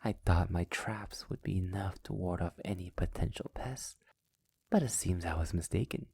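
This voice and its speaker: A man's voice: deep voice, a little mellow, heavily acted. A man acting a sadistic, possessive vampire master in an intimate ASMR roleplay, speaking in a silky, teasing, condescending manner that often slips toward a whisper. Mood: cold, gloating. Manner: slow, teasing, often dropping into breathy whispers; silky, formal, condescending endearments. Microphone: close mic in a dry, quiet space.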